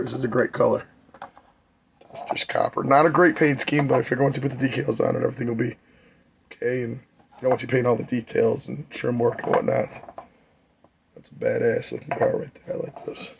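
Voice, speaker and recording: Hoarse man with a gravelly voice. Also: medium pitch, slight background noise. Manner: rambling, enthusiastic, many fillers. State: hoarse, losing his voice. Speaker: model car builder. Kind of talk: casual hobby update.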